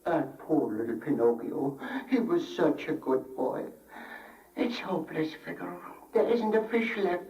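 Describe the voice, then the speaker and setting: Frail, high-voiced kindly old father. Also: a little slow, warm tone. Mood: despairing. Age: older. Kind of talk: 1930s radio drama acting. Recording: dull, echoey distant 1939 radio broadcast.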